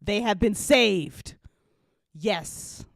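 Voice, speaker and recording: A woman's voice: medium pitch, extremely slow, gravelly, no room sound, clean studio sound. A woman hosting a casual panel chat in an informal, giggly way. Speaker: host and story writer.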